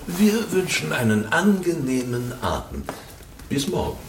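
Touchy gentleman, swooping intonation. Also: medium pitch, wildly swooping pitch, a little slow, background noise. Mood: formal. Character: touchy provincial gentleman husband, stiffly polite. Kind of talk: German radio drama acting.

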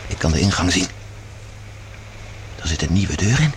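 An actor playing a practical, watchful agent in a science fiction radio drama, giving terse, urgent instructions. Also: male voice, low-pitched, sing-song, moderate pace, background noise. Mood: hushed caution. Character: watchful, practical male space agent scouting by stealth.

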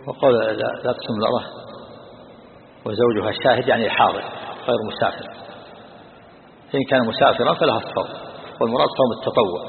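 An older man lectures as a religious teacher, talking fast with a gravelly edge and restating each point. The sound is thin and lo-fi, and it echoes around a large hall with faint noise behind. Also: mid-range voice. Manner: repeats and rephrases points while explaining. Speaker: religious scholar teaching hadith. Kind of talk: explanatory religious lesson. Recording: tinny lo-fi line in an echoey hall.

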